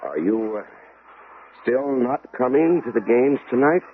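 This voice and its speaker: An actor playing a brash, confident, blustery Roman arena fighter in a 1970s radio drama. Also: male voice, mid-range voice, animated, a little slow, close to an everyday voice. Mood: confident, casual. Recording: noisy, tinny old radio broadcast.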